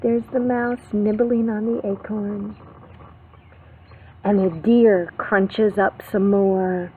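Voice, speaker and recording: Slow-paced preschool teacher woman, tinny recording. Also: medium pitch, husky. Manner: expressive, simple teacherly phrasing with questions to children. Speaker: preschool teacher reading a picture book aloud. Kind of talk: storytime read-aloud for young children with simple explanations. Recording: phone-like narrow band, faint background noise.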